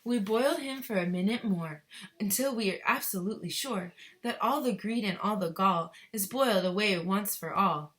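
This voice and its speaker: A woman voicing tiny factory workers from a children's storybook in a rhythmic, drawn-out rhyming chant, gleeful and moralizing. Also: medium pitch, fairly bright tone, close to an everyday voice. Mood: gleeful warning.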